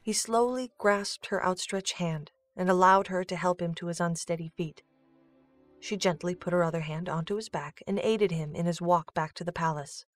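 Woman narrator reading an audio drama story, lowish voice, some music underneath. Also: normal speed.

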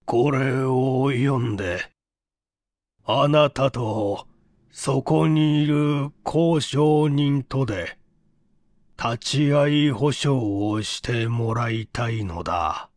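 A man voicing a sickly, suspicious rich old man with a gravelly, slurred voice and a halting, nagging manner. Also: medium pitch, darkish tone, no echo, clean studio sound. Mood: solemn. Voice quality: gravelly, slurred, thick-tongued. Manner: halting, labored, clinging.

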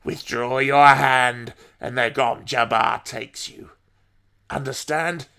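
A man's voice: medium pitch, fairly even intonation, vocal fry, bright, forceful tone, smooth, full character voice. A man voicing an imperious old woman who taunts and threatens with cold control in an audiobook story. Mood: cold menace. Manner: commanding, taunting, deliberate.

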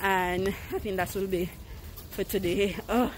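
A woman's voice: medium pitch, steady tone, a little slow, slight background noise. A young adult woman, chatting casually to a handheld phone as a family vlogger. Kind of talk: casual vlog chat. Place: busy street and supermarket aisles. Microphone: handheld phone at arm's length.

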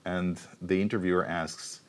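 A deep-voiced man in his forties explains his work at an unhurried, thoughtful pace. He pauses and restarts as he thinks. He is recorded on a clip-on mic in a studio. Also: steady tone, darkish tone, clean tone. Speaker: university researcher, keynote guest. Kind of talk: studio interview answers. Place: TV studio set. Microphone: lapel mic.

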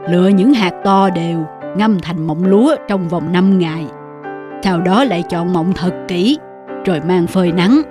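A man's voice: high voice, unhurried. Kindly old candy-selling grandfather. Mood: gentle. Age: older. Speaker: street candy seller. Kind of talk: animated film dubbing. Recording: music behind the voice.

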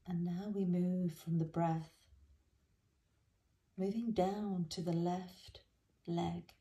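A woman guides a meditation in a mellow, relaxed voice that sits slightly low. She speaks very slowly and gently, soothing the listener, and lets the ends of her phrases fall softly. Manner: gentle, soothing, unhurried with soft phrase endings. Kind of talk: guided body scan meditation.

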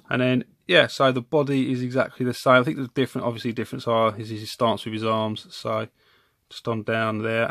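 A man's voice: mid-range voice, fairly bright tone, no echo. A man casually reviewing a collectible figure at home, talking from behind the camera in a rambling, self-correcting way. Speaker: toy reviewer. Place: home room with toy shelves.

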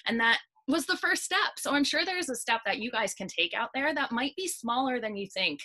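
A woman's voice: on the high side, pushed and bright. A young woman hosting an interview over a video call, enthusiastic and encouraging. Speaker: host. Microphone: webcam or laptop mic on video call.

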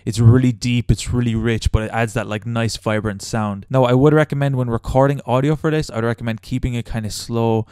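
A man's voice: mid-range voice, steady tone, a little fast, few pauses, a little mellow, smooth, no room sound, clean studio sound. A young man hosting a casual step-by-step tutorial as a voice-over. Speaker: tutorial host.

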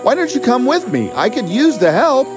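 An eager, bold young cartoon hero, acted out by a man. His voice is high and very animated, earnest and full of exclamation, with music clearly behind it. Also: normal speed. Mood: determined. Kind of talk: animated fairy tale dialogue.

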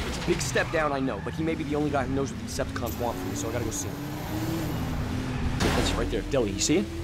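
An actor playing a frantic, chatty young man in a video game cutscene, hurried and informal. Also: on the high side, natural voice. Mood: anxious.

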